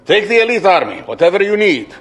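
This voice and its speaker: An actor playing a cruel, imperious sultan in a radio drama, commanding and scornful in manner. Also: male voice, sits high, sing-song, quick pace, a bit bright, heavily acted.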